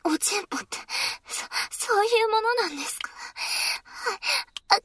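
A young adult woman voicing a shy, flustered young woman, speaking in a breathy, stammering manner in an intimate audio drama. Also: very high voice, animated, moderate pace, fairly bright tone. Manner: whispering. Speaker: voice actress.

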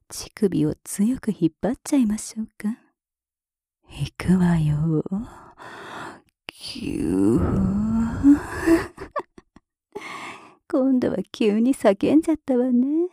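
A cool, mocking mistress, played by a woman, whispers very close to the mic in a deep, mellow, very breathy voice. She draws her words out slowly and teases throughout. The sound is dry and intimate, with no room around it. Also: no echo. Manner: soft close whisper with taunting laughs. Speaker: voice actress. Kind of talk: whispered ASMR roleplay.

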